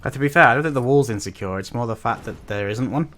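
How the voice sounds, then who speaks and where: A man's voice: mid-range voice, fast talker, a bit bright. A man giving casual commentary as host of a reaction video.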